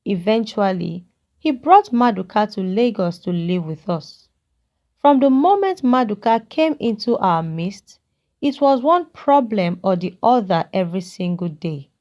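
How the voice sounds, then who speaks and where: Woman as kindly old man, fatherly, regretful, measured, folktale storytelling. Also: medium pitch, very animated, darkish tone, lo-fi sound. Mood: reminiscing, regretful. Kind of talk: character dialogue in a narrated folktale.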